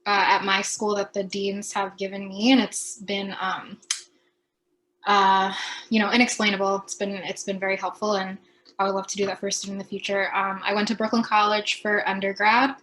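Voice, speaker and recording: A woman's voice: mid-range voice, flat delivery, normal speed, a bit bright, slight background noise, poor audio. A woman in her twenties, a medical student, introducing herself on a video call.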